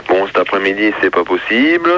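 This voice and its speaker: A man answers the phone as a bank employee. His voice is fairly low but sharp and forceful, and he speaks haltingly, repeating himself. The line is muffled and slightly noisy. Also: sing-song. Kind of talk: unscripted customer-service phone talk. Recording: dull, noisy telephone line.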